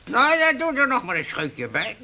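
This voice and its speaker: Radio drama: teasing elderly man, high-pitched and very animated, chatter in the background. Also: normal speed, full character voice. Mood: jovial. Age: older. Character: jovial, teasing old man who chews tobacco. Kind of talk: Dutch radio play acting.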